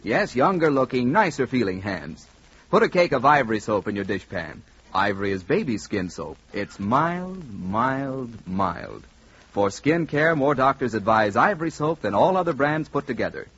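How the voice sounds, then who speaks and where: A man reading a 1940s radio commercial as announcer, with emphatic, measured salesmanship. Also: medium pitch, sing-song, fairly bright tone, slightly rough. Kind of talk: 1940s radio advertising read. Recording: muffled 1940s radio recording.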